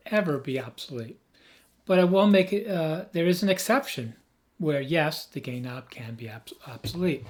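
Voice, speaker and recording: A man who has lost his voice, hoarse, giving an emphatic, rambling off-the-cuff tech explanation as a video host. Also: on the high side. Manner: emphatic, rambling, with frequent restarts.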